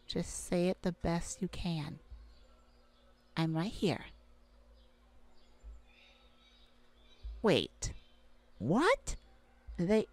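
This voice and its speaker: A woman playing a caring, affectionate mage gardener in a gentle ASMR roleplay audio drama. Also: on the low side. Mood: concerned. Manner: affectionate, gentle, full of endearments. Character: tender, caring mage gardener devoted to their werewolf mate.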